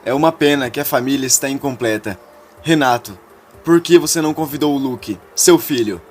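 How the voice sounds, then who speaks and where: A man's voice: mid-range voice, fairly quick, faint music behind, close to an everyday voice. A man voicing a smug young schemer who exposes a secret in a retold soap-opera story.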